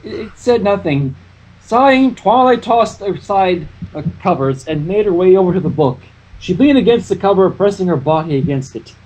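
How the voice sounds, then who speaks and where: A reader narrating comic fan fiction with a husky voice, animated and stumbling, with nervous asides. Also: medium pitch, moderate pace, a little hiss or hum, poor audio.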